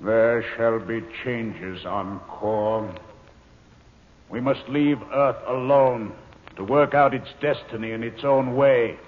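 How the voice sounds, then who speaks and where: An actor playing a commanding alien male ruler in a 1950s radio drama, slow and grave. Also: medium pitch, steady tone, a little hiss or hum, lightly acted. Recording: tinny old radio broadcast with hall echo.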